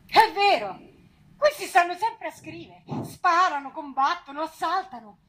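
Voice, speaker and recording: Actress as a high-pitched outspoken commoner. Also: female voice, expressive, unhurried, a bit bright, full character voice, poor audio. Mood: sceptical. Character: outspoken Roman commoner woman, sceptical and fervent. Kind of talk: popular stage acting.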